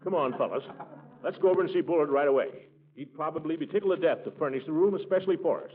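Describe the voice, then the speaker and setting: A man playing a pompous, indignant middle-aged small-town commissioner with an American accent and a husky voice in 1940s radio comedy. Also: on the high side, fast, natural voice. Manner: huffy, pompous. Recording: tinny 1940s radio recording.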